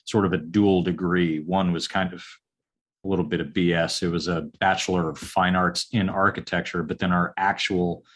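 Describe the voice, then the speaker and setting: Low-pitched man in his forties, unhurried and halting, guest on an interview podcast. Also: level tone. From upstate New York. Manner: halting, many ums and restarts. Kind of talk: casual interview answers.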